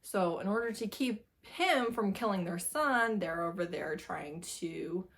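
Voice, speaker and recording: A woman giving a casual, animated book review, telling plots informally with a giggly manner. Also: mid-range voice, a little slow. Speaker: book review video host. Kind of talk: casual chatty book wrap-up talk to camera.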